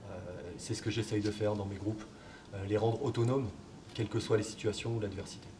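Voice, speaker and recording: A man, a football coach, answering interview questions in a relaxed, hesitant way with many fillers. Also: on the low side, brisk. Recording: distant mic, background noise.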